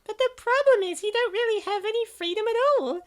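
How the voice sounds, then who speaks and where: A woman's voice: very high-pitched, a little fast. A woman voicing a stubborn, exasperated young female gamer in a comic dub, complaining in long rattled-off runs. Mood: indignant.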